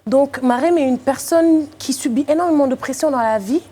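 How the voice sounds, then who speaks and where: Lively, laughing woman in her thirties. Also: sits high, normal speed. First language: not French. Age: 30s. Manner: lively, laughs often, animated when joking. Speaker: actress guest. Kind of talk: casual talk show chat.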